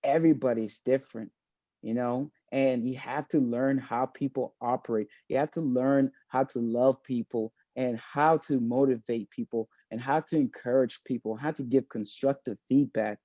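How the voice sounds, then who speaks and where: A young man born in South Sudan and raised in Maine, speaking as an interview guest in a thoughtful, halting way, with many fillers and restarts. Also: medium pitch, steady tone, warm tone, no echo, muffled recording. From born in South Sudan, raised in Maine, USA. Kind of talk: casual video-call interview.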